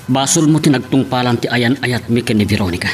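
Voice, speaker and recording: Man acting as fast-talking bitter jilted lover. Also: medium pitch, close to an everyday voice. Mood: bitter, accusing. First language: Ilocano. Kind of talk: Ilocano radio love drama acting.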